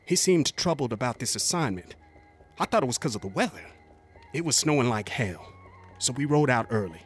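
An actor playing a haunted, rough-spoken US Cavalry veteran, telling his story in a folksy, halting way in a radio drama. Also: medium pitch, big pitch swings, soft background music, lightly acted. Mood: plain, uneasy. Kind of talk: dramatic first-person monologue, radio drama.

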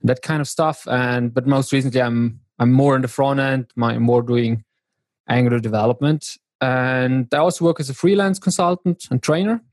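A man from northern Italy, a software developer explaining things as a podcast guest. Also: medium pitch, steady tone, moderate pace, dry recording. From South Tyrol, northern Italy. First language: German. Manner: explanatory, with restarts. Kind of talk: casual technical chat.

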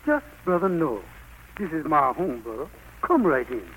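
A man's voice: a little high, wildly swooping pitch, normal speed. A man playing a humble, hospitable country preacher with a Southern US accent, in 1940s radio drama style. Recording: noisy vintage 1940s radio broadcast.

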